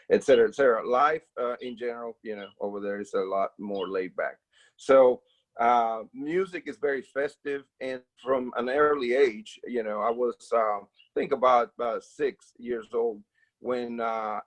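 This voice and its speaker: Halting Dominican-accented man being interviewed. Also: mid-range voice, rough recording. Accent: Dominican Spanish. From Dominican Republic. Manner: halting, with very frequent fillers and repeated words. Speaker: interview guest, percussion educator. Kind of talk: casual interview answers.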